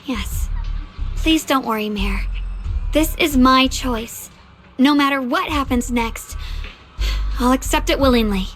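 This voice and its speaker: A woman's voice: high-pitched, takes it slowly, pauses often, fairly bright tone, soft background music. An actress playing a resolute, selfless young swordswoman in a video game, a polite and earnest disciple. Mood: calm acceptance.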